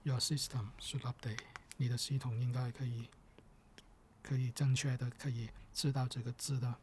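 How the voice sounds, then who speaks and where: A man speaking gently and patiently in soft, partly whispered ASMR, with hesitant, step-by-step phrasing. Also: medium pitch, little pitch movement, normal speed, a little hiss or hum. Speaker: ASMR performer.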